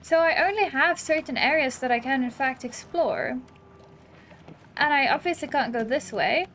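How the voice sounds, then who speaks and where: High-voiced woman chatting through a game. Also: moderate pace, a bit bright, a little hiss or hum, low-quality recording. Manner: chatty, playful, exclaiming. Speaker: gaming video host. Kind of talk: casual let's-play commentary, reading game dialogue aloud.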